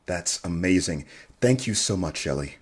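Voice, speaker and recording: Audio drama: male actor as deferential news host, stammering, slightly low voice, rough-edged. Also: expressive, moderate pace. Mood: awkward, friendly. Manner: hesitant, apologetic, stammering. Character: nervous, deferential male radio host and journalist. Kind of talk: full-cast audio drama acting.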